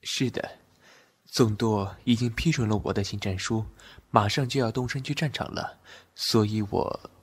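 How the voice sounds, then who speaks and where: An actor playing a reserved, melancholy, deferential general of ancient China in a period audio drama. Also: male voice, on the low side, normal speed, breathy edge. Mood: hesitant. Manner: hesitant, deferential. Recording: long reverb, music under dialogue.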